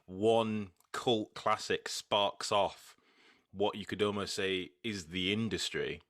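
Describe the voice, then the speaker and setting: A podcast host with a low voice that still sounds bright, recorded dry and close. He chats loosely and circles a question, repeating words as he feels his way toward it. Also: fairly even intonation, slow pace, no room sound. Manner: chatty, searching for words, repeats himself. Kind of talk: casual interview.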